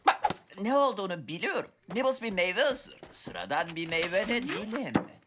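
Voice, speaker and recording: A woman voicing a fussy, excitable little farmer Smurf in an animated cartoon dub, dramatic and fretful. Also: medium pitch, moderate pace, very noisy, lightly acted. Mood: explaining anxiously.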